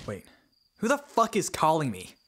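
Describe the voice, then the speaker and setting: A man's voice: on the high side, huge pitch swings, moderate pace, background noise. A man voicing a panicky, melodramatic teenage schoolboy in an anime parody dub, whiny and reluctant to be the hero. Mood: suspicious. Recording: loud music behind the voice.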